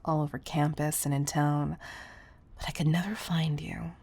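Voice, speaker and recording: Breathy, gravelly female CEO played by a woman, tenderly controlling. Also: deep voice, flat delivery, normal speed, darkish tone. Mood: confiding, wistful. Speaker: audio roleplay performer. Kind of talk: intimate audio roleplay monologue, partly whispered.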